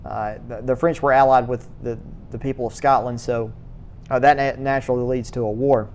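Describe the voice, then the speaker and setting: A man giving a church history lecture to a class, speaking in a halting way, restarting words and filling pauses with uh. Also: mid-range voice, steady tone, darkish tone, a little hiss or hum. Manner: halting, repeats words, many uh fillers.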